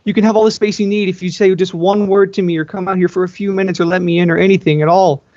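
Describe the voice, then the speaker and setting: A man's voice: sits high, fairly even intonation, fast talker, darkish tone, dry recording, highs cut off, voice pushed up, natural voice. A man voicing a desperate, lonely young sister in a story, pleading insistently.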